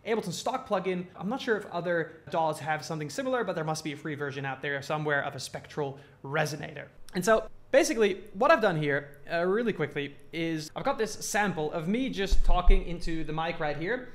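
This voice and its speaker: A man's voice: fairly high pitch, brisk, a bit bright. A man hosting a music production tutorial in a casual, informal and enthusiastic way.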